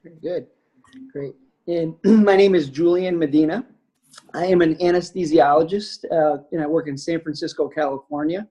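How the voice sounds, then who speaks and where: Casting: man in his forties, fairly high voice, reflective webinar guest, video call audio. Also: steady tone, normal speed, dark tone, husky, poor audio. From United States. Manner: reflective, many fillers. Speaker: anesthesiologist guest. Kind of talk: webinar talk.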